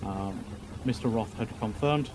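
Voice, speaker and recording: A man testifying as a witness in a roleplay court, talking formally and haltingly, often repeating words. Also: fairly low pitch, background noise.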